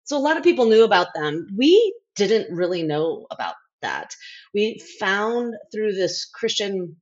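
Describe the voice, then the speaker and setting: A middle-aged woman giving a candid interview in a conversational way, with halting phrasing and thoughts that trail off, on a lo-fi recording. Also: mid-range voice, very animated. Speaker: interview guest, former overseas aid worker.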